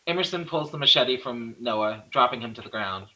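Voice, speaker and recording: A man's voice: mid-range voice, lively intonation, moderate pace, bright, forceful tone, highs cut off. A man narrating stage directions from a script at a table read, reading plainly and occasionally stumbling over words.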